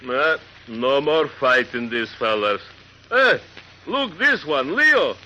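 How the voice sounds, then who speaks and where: Forceful foreign giant strongman. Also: medium pitch, very animated, slow pace, dull audio, heavily acted. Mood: satisfied. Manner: broken English. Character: giant good-natured brawling foreign strongman, simple and loyal. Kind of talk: 1940s radio acting. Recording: noisy vintage radio recording.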